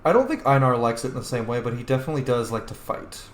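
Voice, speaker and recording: A man's voice: mid-range voice, sing-song, quick pace. A man hosting a roleplay let's play, giving informal, reflective game commentary with some swearing. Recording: roomy echo, faint background noise.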